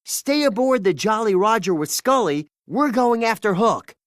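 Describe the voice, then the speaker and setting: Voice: mid-range voice, expressive, moderate pace, no echo, studio quality, voice pushed up, natural voice. A storybook narrator voicing a brave, friendly young boy pirate leader with eager, exclamatory delivery. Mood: urgent. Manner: enthusiastic, exclamatory.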